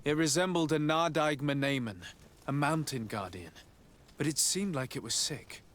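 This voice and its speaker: A man voicing a polite, resolute noble envoy in a video game, speaking in a formal, measured way. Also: fairly high pitch, a bit bright, a little hiss or hum, natural voice. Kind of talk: video game cutscene acting.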